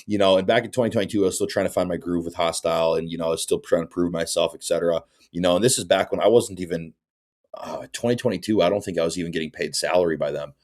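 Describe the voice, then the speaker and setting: A man's voice: low voice, fast talker, a little mellow. A man in his twenties, a bodybuilding coach, chatting casually on a podcast and often asking "you know what I'm saying". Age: 20s.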